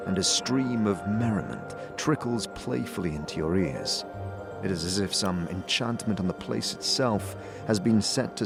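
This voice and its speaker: Guy narrating a fantasy audio drama, calm and descriptive, lowish voice that moves around a lot. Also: creaky. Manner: measured and descriptive. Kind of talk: second-person scripted narration. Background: music.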